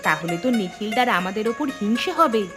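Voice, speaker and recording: A woman's voice: medium pitch, lively intonation, a little fast, close to an everyday voice. A woman voicing a practical, supportive wife who cooks, in a cartoon. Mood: brisk. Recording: background music.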